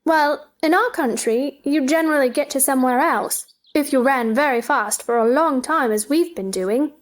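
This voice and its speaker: A woman's voice: high-pitched, moderate pace, natural voice. A woman playing a curious, polite young girl, speaking with earnest wonder in a theatrical storybook dramatization. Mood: puzzled, polite.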